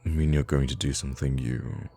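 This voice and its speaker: A man playing a kind, charming young prince in an intimate audio roleplay, with formal, courtly phrasing and hesitant pauses. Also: rumbling low, steady tone, mellow, faint music behind. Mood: tender, concerned. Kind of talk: intimate ASMR roleplay acting.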